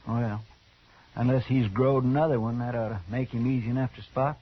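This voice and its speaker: A man's voice: medium pitch, fairly even intonation, a little fast, husky, close to an everyday voice. Man acting a folksy, drawling deputy sidekick. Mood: helpful. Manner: folksy, deferential, plain-spoken. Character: folksy, loyal, eager deputy sidekick in a Western. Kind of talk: 1950s radio drama acting. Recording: dull, noisy old radio recording.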